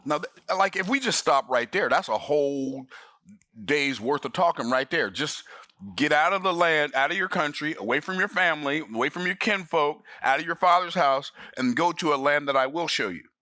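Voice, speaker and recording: A man's voice: on the high side, lively intonation, normal speed, bright, forceful tone, no room sound, clean studio sound. A man in his sixties teaching the Bible in an emphatic, folksy preaching manner. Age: 60s. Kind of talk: sermon-style Bible study.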